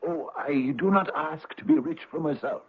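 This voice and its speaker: An actor playing a dreamy, idealistic, poor father, fervent and excitable, in an old-time radio drama. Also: a little high, normal speed, husky. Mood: earnest, pleading. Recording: tinny, noisy old radio recording.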